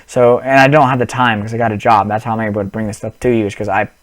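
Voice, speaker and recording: A man's voice: lowish voice, little pitch movement, fast, no echo. Rambling young man on a headset. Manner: rambling, many restarts and fillers, apologetic. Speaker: gaming vlogger. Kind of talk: casual unscripted vlog.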